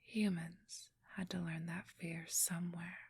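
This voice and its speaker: A woman playing a cunning, haughty supernatural thief who poses as a scholar, speaking in a soft, precise, formal whisper for an ASMR roleplay. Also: fairly low pitch, little pitch movement, unhurried, husky, no echo, full character voice. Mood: scholarly, assured.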